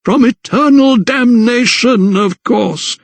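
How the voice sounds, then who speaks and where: A man's voice: high voice, expressive, vocal fry, husky, no room sound, pitched above the performer's own voice, full character voice. A man voicing a sinister, condescending elderly vicar, a religious fanatic, in an audiobook. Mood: sanctimonious. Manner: slow, sanctimonious, unctuous. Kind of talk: dramatised audiobook character dialogue.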